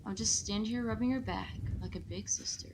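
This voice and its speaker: A woman's voice: mid-range voice, a little fast, slight background noise, natural voice. A woman playing a sickly young working-class match girl in an audio drama, blunt, wry and caring like a big sister.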